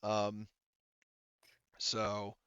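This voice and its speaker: Smooth-voiced man running a tabletop game. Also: on the low side, completely flat delivery, extremely slow, sharp tone, dry recording. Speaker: game master. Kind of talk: casual tabletop game narration. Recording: slightly dull close dry mic.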